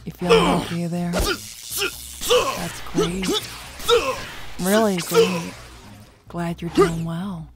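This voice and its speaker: A woman's voice: fairly low pitch, expressive, extremely slow, pauses often, very noisy. A woman voicing a sly, sarcastic adult female bat in a fan cartoon, drawling her words. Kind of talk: fan-made cartoon voice acting. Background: loud background music.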